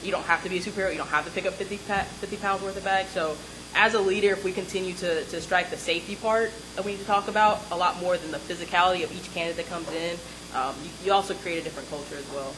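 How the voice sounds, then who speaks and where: A woman's voice: on the low side, steady tone, very fast. A young woman on a discussion panel who talks emphatically and projects her voice. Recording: noisy live event recording.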